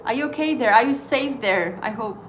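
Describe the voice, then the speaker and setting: A woman in her thirties, a native Estonian speaker with an Estonian accent, hosting a casual trading livestream and chatting with viewers in halting English full of fillers. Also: fairly high pitch, lively intonation. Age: 30s.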